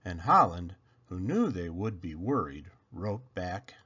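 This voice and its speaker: A man's voice: low voice, very animated, slow pace, bright, forceful tone, husky. A man reading a children's picture book aloud expressively, with dramatic emphasis and questions put to the listeners. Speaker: storyteller reading picture books aloud, channel host. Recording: dull lo-fi sound.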